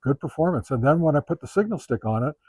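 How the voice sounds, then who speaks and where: A man giving a casual, unscripted product review as host, talking in a halting, conversational way as he thinks aloud. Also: fairly high pitch, lively intonation, dark tone, husky, dry recording.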